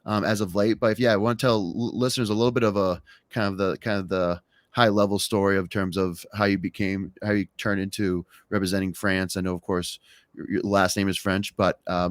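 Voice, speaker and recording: A man's voice: deep voice, flat delivery, fairly quick, no room sound. A young man hosting a podcast, talking casually and rambling, often stumbling and restarting his sentences. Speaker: podcast host.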